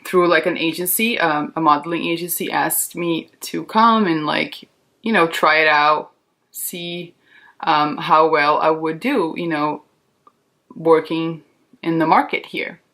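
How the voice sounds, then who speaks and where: A woman's voice: deep voice, measured pace, a bit bright. A woman in her thirties from Brazil, a Portuguese speaker, chatting in a candid, reassuring, informal way like a beauty vlogger. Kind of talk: casual chatty makeup tutorial.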